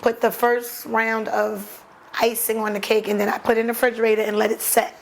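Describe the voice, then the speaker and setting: A woman's voice: on the high side, little pitch movement, brisk, vocal fry, slight background noise, low-quality recording. An older woman from New York with a New York accent, a baker interviewed as a guest, talking in an easygoing, plainspoken way. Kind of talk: casual interview.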